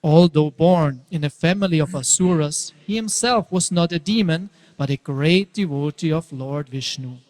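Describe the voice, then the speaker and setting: Higher-pitched male narrator in a reverberant hall. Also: normal speed, few pauses, darkish tone. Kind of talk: scripted narration of a devotional story.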